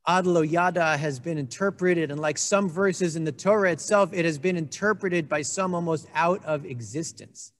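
A man in his forties delivers a sermon in a steady, earnest way, now and then slipping in a dry aside. He speaks into a desk microphone, and his somewhat high voice trails off into a long reverb. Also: normal speed, hardly any pauses. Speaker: congregation rabbi. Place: indoor room with a wood-panelled wall. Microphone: desk microphone on a stand.

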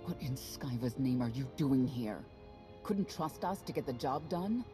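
A woman's voice: very deep voice, animated, measured pace, over music. A woman playing a stern, hard-bitten female knight in a video game, blunt and serious. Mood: indignant. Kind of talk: video game cutscene acting.

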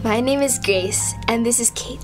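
A woman's voice: on the high side, normal speed, faint music behind, close to an everyday voice. A woman playing a devout, unafraid condemned prisoner, earnest and resolute, in an amateur radio drama. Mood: calm, earnest.

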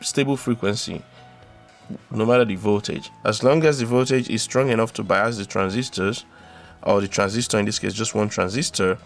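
A man hosting a hands-on tutorial, explaining in an instructional way and often repeating and rephrasing his steps. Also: on the low side. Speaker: electronics tutorial host.

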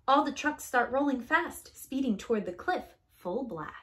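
A woman in her thirties reading a rhyming picture book aloud to young children in an expressive, deliberate storytelling manner. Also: high-pitched. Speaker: preschool teacher. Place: small indoor room with bookshelves.

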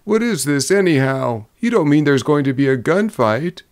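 A man's voice: high voice, smooth, pitched above the performer's own voice. A man voicing a talkative, jocular salesman who grows bewildered, in a storybook Western. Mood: mystified. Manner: excitable, rapid-fire questioning. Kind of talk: storybook character voice in a Western tale.

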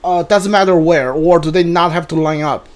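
A man chatting casually on a live game stream, halting and often repeating himself as he talks. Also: fairly high pitch.

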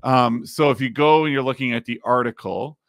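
A man in his thirties, slightly raspy, hosting a casual podcast and explaining things with plenty of fillers, recorded in a home studio. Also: mid-range voice, normal speed, dry recording. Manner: explains while screen-sharing, many fillers. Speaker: podcast host. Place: home studio with neon sign.

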